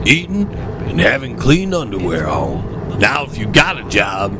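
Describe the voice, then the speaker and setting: A man's voice: lowish voice, very animated, measured pace, background noise. A man playing a cranky, hot-headed, bragging uncle in a comedy cartoon, blustering and grumbling. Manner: blustering, grumbling, easily exasperated.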